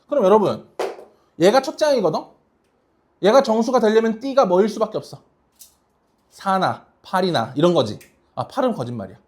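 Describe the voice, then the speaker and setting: A math teacher with a mid-pitched voice leads a class through worked problems. The delivery is informal and conversational, full of short questions to students to check that they are keeping up. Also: big pitch swings, moderate pace, long pauses, a little mellow. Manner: casual, informal, checks understanding often.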